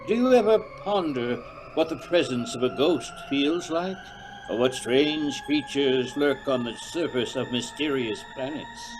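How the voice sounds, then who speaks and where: An actor playing a theatrical announcer of an old-time eerie radio show, with a dramatic, suggestive delivery. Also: male voice, medium pitch, lively intonation, measured pace, over music, natural voice, poor audio. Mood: ominous, theatrical.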